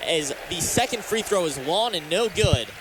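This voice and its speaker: High-voiced young American sports announcer man. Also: animated, normal speed, crowd noise, background noise. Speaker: sports play-by-play announcer and reporter. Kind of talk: live radio sports commentary.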